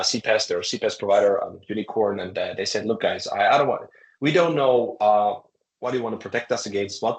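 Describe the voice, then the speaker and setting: A man, a startup founder, answering interview questions in a halting way, with many fillers and restarts. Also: fairly low pitch. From Croatia. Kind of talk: conversational interview. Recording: echoey room.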